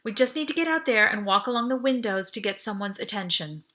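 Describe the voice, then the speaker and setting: A woman reading first-person audiobook narration in an expressive, urgent, dramatic way. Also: medium pitch, fast. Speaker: author reading own novel.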